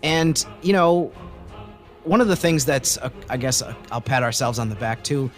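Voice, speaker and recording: A man's voice: medium pitch, expressive, moderate pace, faint music behind. A man co-hosting a sports podcast in casual conversation, talking as a sports writer.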